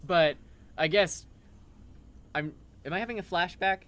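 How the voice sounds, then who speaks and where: A man's voice: sits high, measured pace, fairly bright tone. A man doing improvised comedy banter in a rambling, mock-earnest way.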